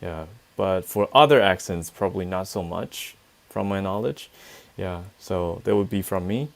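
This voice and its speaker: A Taiwanese man with a Taiwanese accent speaks in a fairly deep, easy tone. He co-hosts a casual English-learning talk, answering viewer questions in a thoughtful, laid-back way. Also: moderate pace, gravelly. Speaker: co-host. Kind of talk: casual English teaching chat.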